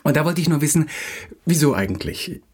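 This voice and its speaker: An actor playing an insecure, agitated young playwright in a radio play, halting and stammering as he repeats himself. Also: fairly high pitch, very animated, a little mellow, husky. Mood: anxious, pleading. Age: young adult.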